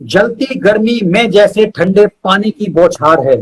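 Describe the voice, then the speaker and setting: A man's voice: high-pitched, lively intonation, a little slow, low-quality recording. A man reciting poetry as a guest poet, repeating lines for emphasis, with short asides to listeners.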